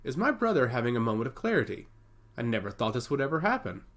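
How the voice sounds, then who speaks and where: A man's voice: medium pitch, very animated, fast. A man voicing a proud, diplomatic noble sister in a fantasy audiobook, with haughty, articulate delivery. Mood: teasing.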